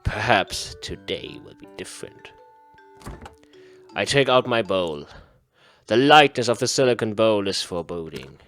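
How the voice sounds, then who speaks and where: A man's voice: on the low side, lively intonation, measured pace, fairly bright tone, faint music behind. A man reading a visual novel aloud in a theatrical, mock-serious way as a gaming host. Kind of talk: dramatic read-aloud narration of a visual novel.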